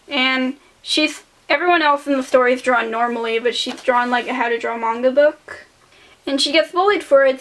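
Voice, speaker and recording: A young woman in her late teens speaks in a high voice with a noticeable lisp. She rambles casually through a voice-over about her artwork, offhand and self-deprecating. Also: a bit bright, low-quality recording. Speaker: artist showing old sketchbooks.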